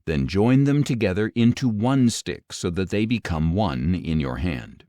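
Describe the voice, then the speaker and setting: A man voicing a commanding, solemn God speaking prophecy in an audio Bible reading, grave, declamatory and measured. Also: sits low, expressive, warm tone, no echo, studio quality. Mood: solemn, promising.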